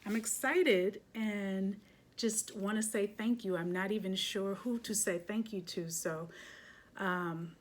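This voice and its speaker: A woman's voice: medium pitch, slow pace. A woman hosting a children's read-aloud, chatting casually and reading a picture book expressively with little asides.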